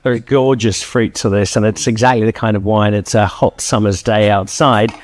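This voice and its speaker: Lively male wine show host. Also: fairly low pitch, moderate pace. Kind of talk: interview hosting. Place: hotel room.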